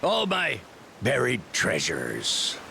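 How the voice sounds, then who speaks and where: Gravelly, forceful captive pirate captain. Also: male voice, mid-range voice, wildly swooping pitch, slow pace, slight background noise, exaggerated voice. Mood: desperate bargaining. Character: captured rogue pirate captain bargaining for his freedom. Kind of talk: video game voice acting.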